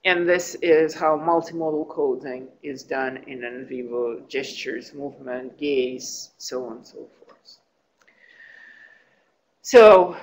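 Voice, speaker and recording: A woman whose first language is Russian, giving an academic conference talk, pausing often and restarting phrases. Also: low-pitched, a little hiss or hum, low-quality recording. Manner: thoughtful, with frequent restarts and fillers. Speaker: academic presenter. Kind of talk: conference presentation.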